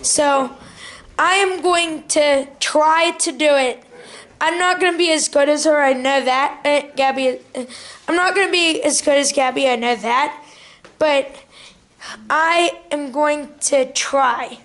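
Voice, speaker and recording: A woman's voice: high voice, unhurried, fairly bright tone. A woman casually hosting a homemade puppet show and putting questions to the people gathered. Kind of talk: informal presenting to a family gathering.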